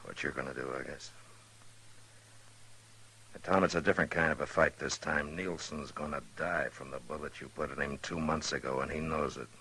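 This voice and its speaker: An actor playing a stern, weary frontier marshal in a radio western, grave and plain-spoken in a measured way. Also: male voice, rumbling low, fairly even intonation, gravelly, pushed and bright, slight background noise, exaggerated voice, rough recording. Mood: grave, resigned. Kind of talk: old-time radio western acting.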